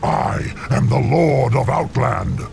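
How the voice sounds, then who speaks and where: An actor playing an arrogant, wrathful demon overlord in a fantasy game, with a gravelly, pompous, contemptuous voice. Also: male voice, mid-range voice, lively intonation, slow pace, exaggerated voice. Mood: scornful, boastful. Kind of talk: dramatic fantasy game cutscene acting. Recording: loud dramatic music under the voice.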